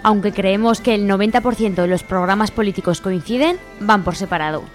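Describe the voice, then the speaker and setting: Woman reading radio editorial over clear music. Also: medium pitch, brisk. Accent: Spain. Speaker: radio show co-host. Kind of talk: scripted political editorial read in alternation. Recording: music bed behind the voice.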